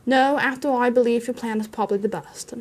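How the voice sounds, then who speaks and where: A woman voicing a grave old male wizard, stern and commanding, with a measured, advising manner in a storybook reading. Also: fairly high pitch, steady tone, lightly acted. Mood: thoughtful, wry.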